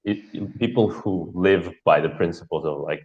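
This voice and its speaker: A man's voice: low voice, a little slow, darkish tone, slight background noise. A man from Kazakhstan, a guest talking over a video call in a hesitant, philosophical way. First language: Russian. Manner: hesitant, many fillers, philosophical. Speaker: guest speaker. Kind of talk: casual talk. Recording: dull lo-fi call audio.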